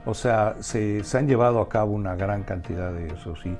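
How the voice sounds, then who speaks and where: A Mexican man speaks in a low, dark voice with a creaky edge. He answers conversationally, often circling back over a word before finishing the thought. Faint music accompanies him. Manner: halting, repeats words as he searches for phrasing. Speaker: interview guest, restaurateur and community organization member.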